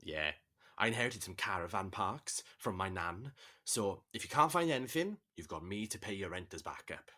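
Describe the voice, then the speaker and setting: An actor playing an amiable, slightly clueless young male flatmate with a teasing, laid-back manner in an audio drama. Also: low voice, moderate pace, heavily acted. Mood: casual, reassuring. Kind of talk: naturalistic audio drama dialogue.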